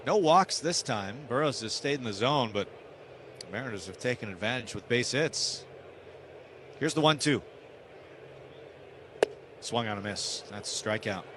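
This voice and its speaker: A man's voice: mid-range voice, measured pace, pauses often. A man doing live radio play-by-play commentary of a baseball game. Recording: steady background ballpark noise. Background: outdoor stadium ambience.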